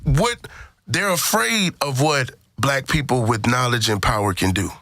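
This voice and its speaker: A man's voice: mid-range voice, measured pace, pushed and bright, no echo. A man hosting a casual panel discussion, speaking emphatically and repeating phrases for stress. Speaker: podcast host.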